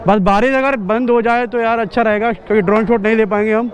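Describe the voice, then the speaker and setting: High-voiced young man vlogging from a motorbike. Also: fast. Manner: chatty, excited, greets friends loudly. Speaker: motovlogger. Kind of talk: casual vlog narration. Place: crowded street festival outdoors. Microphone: helmet camera while riding a motorbike. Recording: loud crowd and traffic noise outdoors.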